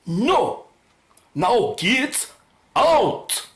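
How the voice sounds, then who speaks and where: Radio play role: principled, easily indignant male scientist, played by a woman, very expressive pitch, slow formal delivery. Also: full character voice. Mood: indignant, defiant. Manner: formal, emphatic, precise diction. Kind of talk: radio drama acting. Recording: roomy echo, faint noise.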